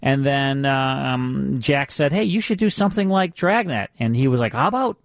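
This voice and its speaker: A man's voice: medium pitch, big pitch swings, normal speed, no room sound, studio quality. A man hosting a radio show with casual banter and program previews, joking at his own expense in rambling asides. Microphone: close studio microphone.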